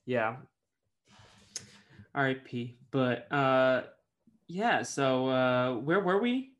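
A man's voice: medium pitch, animated, very slow, a bit bright, lo-fi sound. Hesitant young man co-hosting a podcast. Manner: hesitant, full of "um" and "like", trailing off. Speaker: podcast co-host. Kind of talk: casual chat.

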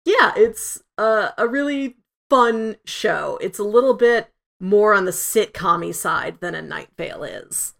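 A woman's voice: medium pitch, lively intonation, measured pace, gravelly, few pauses, a bit bright. A woman co-hosting a review podcast in a casual, conversational way, peppering her sentences with "like". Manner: conversational, frequent "like" asides. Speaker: podcast co-host.

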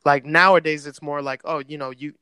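Bright-voiced young man, easygoing slang. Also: on the high side, steady tone, fairly quick, smooth, dry recording. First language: Spanish. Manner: laid-back, slangy, rambling storytelling. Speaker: podcast guest. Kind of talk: casual chat.

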